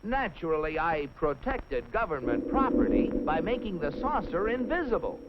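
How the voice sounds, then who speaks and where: A man voicing an eager, boastful, fawning Martian space explorer who makes a grandiose speech in a 1950s animated cartoon. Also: a little high, normal speed, very noisy. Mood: boastful, excited. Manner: earnest, grandiose, speech-making with flustered hesitations. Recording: muffled 1950s film soundtrack.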